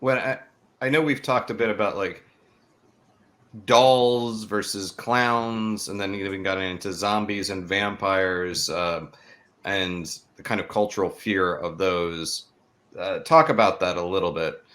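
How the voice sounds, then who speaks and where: A man hosting a conversational podcast interview, feeling his way through questions in a halting manner. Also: sits low, steady tone, fairly bright tone.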